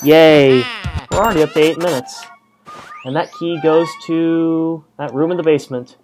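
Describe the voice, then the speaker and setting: A man's voice: on the high side, fairly even intonation, normal speed, a little mellow. A man giving casual, rambling let's-play commentary through an earphone headset mic, full of fillers and self-deprecating asides. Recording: game music playing behind. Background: game music.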